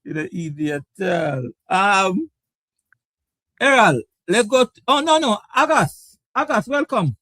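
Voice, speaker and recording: A man's voice: sits high, big pitch swings, a little slow, bright, forceful tone, dry recording. A man hosting a casual cricket chat show, teasing his guests and laughing often. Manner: laughing, teasing, repeats words. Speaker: show host.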